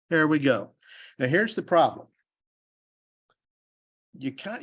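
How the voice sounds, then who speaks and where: Older Texan man, retired engineer type, folksy conference presenter, plain and rambling. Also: medium pitch. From Texas. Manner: plainspoken, self-deprecating, loose and rambling. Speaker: conference speaker. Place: university convention hall.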